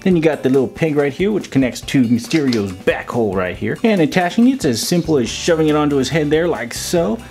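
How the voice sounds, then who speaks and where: Lively, animated man reviewing toys. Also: on the high side, brisk, hardly any pauses, faint music behind. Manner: playful, silly made-up words and sound effects. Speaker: toy reviewer and video host. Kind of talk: casual, jokey action figure review voice-over.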